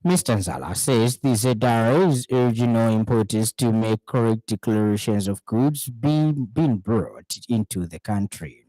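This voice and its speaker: A man reading a scripted radio news bulletin in a steady, formal manner from a studio. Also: on the low side, measured pace, few pauses, no room sound, low-quality recording. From Zambia. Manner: steady formal newsreading, lists read item by item. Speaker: news anchor.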